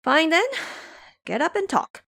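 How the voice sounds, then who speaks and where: A woman's voice: extremely high pitch, big pitch swings, measured pace, vocal fry, a bit bright, voice pushed up, exaggerated voice. A woman voicing a cocky, hot-tempered monkey king in an audio drama, imperious, taunting and scolding. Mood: threatening.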